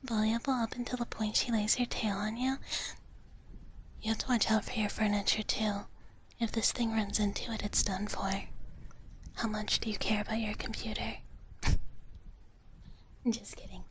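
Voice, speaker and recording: A woman livestreamer whispering breathily and gently into a close microphone as she chats playfully with viewers in ASMR style, in a big-sister dragon persona. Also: medium pitch, level tone, normal speed, pauses often. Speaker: livestream host. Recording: close-up whisper with clear background noise.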